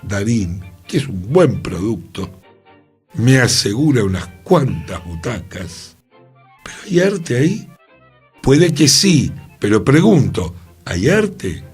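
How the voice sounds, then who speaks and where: A man's voice: medium pitch, big pitch swings, takes it slowly, slightly rough, soft background music. A man with a Rioplatense Spanish accent, musing aloud on a radio talk show in a thoughtful, questioning way. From Argentina. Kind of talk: reflective radio conversation. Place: radio studio.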